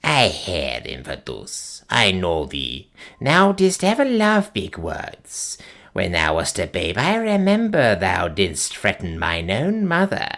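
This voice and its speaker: A man voicing an ancient, wicked witch who speaks slowly in a piping, gloating old-fashioned way, for an audiobook. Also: sits low, huge pitch swings, creaky, fairly bright tone, voice pushed down, exaggerated voice. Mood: sneering. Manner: piping, gloating, slow archaic speech. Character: ancient wicked female witch, shrivelled and cackling. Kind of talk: audiobook character voice.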